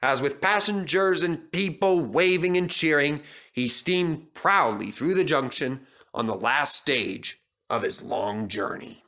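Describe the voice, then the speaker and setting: A man's voice: fairly high pitch, animated, unhurried, bright, forceful tone. A man narrating a scripted children's story as storyteller.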